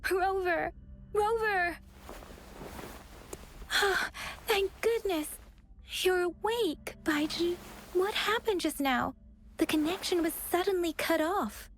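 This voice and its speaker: A woman voicing an earnest, caring young female companion in a video game, with a gentle manner. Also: extremely high pitch, slow pace, long pauses, a little hiss or hum, exaggerated voice. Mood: relieved, worried. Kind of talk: English video game voice acting.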